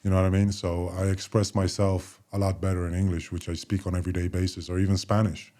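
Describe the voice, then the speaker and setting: A man in his forties with a very low voice co-hosts a podcast. He talks about himself in a loose, unhurried way, drifting between thoughts and leaning on plenty of fillers. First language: Polish. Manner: relaxed, loose, rambling with many fillers. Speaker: podcast co-host, former basketball player. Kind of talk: casual conversation.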